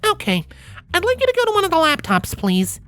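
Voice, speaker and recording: A woman voicing a young female cartoon pony who gives brisk, patient tech instructions in a storybook reading. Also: very high voice, huge pitch swings, a bit bright, faint music behind, pitched above the performer's own voice, exaggerated voice. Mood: brisk, instructing.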